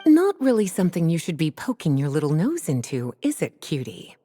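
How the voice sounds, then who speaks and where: A woman voicing a poised, teasing librarian in a video game, speaking in an unhurried, coy, knowing way. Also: low voice, wildly swooping pitch, mellow, soft background music.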